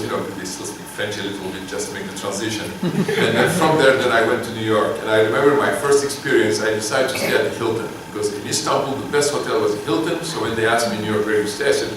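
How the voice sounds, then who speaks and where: A middle-aged man from Turkey, giving an informal university lecture in a halting, filler-heavy way with self-deprecating humor. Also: lowish voice, far from the mic, background noise. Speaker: guest lecturer. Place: large lecture hall. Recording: echoey hall heard from afar.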